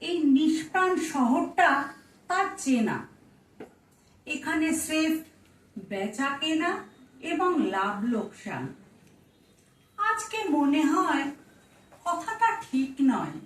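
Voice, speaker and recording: A woman reciting poetry in a measured way, phrase by phrase. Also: high voice, big pitch swings. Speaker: recitation performer. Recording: echoey room, distant microphone.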